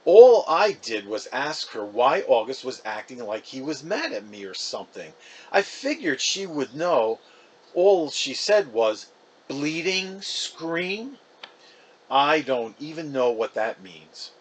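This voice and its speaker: Man reading as a fifth-grade boy, storybook narrator, chatty, defensive, casual emphasis, very varied pitch. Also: sing-song, takes it slowly. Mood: baffled, huffy.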